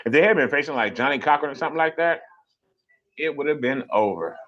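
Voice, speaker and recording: A man ranting on a casual live stream, animated and profane, mocking people with sudden high mimicking voices. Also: fast talker, highs cut off.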